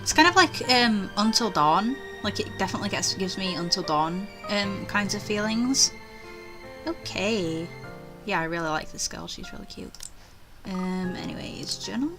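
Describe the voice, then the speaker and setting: A woman's voice: mid-range voice, animated, normal speed, music in the background, low-quality recording. A young adult woman playing a game, commenting casually and reading its text aloud. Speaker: let's play host.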